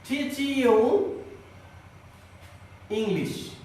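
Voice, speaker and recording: A man teaches in a strikingly high voice, recorded in a heavily echoing room away from the microphone. He explains step by step like a classroom teacher, repeating himself deliberately. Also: darkish tone. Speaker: English grammar teacher. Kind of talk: classroom lesson addressed to students. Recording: echoey room, distant microphone.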